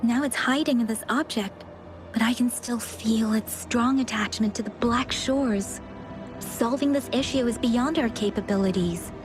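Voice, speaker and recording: Earnest, higher-voiced young woman investigator , acted. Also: unhurried, music in the background, lightly acted. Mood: serious, wary. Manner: earnest, serious. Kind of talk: scripted video game voice acting.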